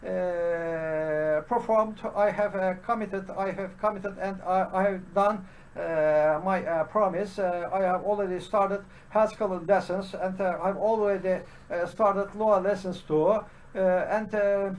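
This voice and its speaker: A man with a Turkish accent, a native Turkish speaker, giving an unscripted solo commentary for his programming channel, halting and full of "uh" fillers and restarts. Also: sits high, steady tone.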